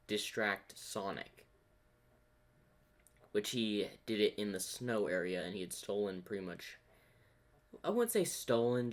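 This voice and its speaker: Man, lowish voice, reaction video host, casual, thinking out loud.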